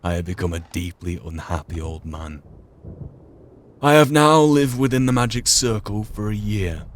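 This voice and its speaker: A man's voice: fairly low pitch, expressive, normal speed, natural voice. A man voicing a lonely, tormented old professor, reading a journal aloud in a formal, theatrical way. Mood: grief, despair. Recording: faint background noise.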